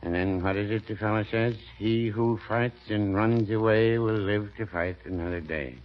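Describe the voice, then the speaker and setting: An actor playing a mild, dry-witted small-town druggist in a 1940s radio comedy, slow and deadpan. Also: male voice, lowish voice, close to an everyday voice. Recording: dull old radio broadcast with loud hiss.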